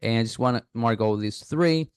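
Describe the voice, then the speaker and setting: Guy doing a chatty app walkthrough, smooth clear voice, dry close sound, lots of restarts. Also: mid-range voice, normal speed, dry recording. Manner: chatty, thinks aloud with fillers and restarts. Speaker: app reviewer. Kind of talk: casual screen-recording walkthrough.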